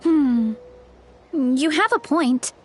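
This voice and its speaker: Slow, high-voiced earnest young heroine. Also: female voice, expressive, soft background music, full character voice. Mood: admiring, hesitant. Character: gentle, earnest young heroine gathering her courage. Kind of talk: video game voice acting.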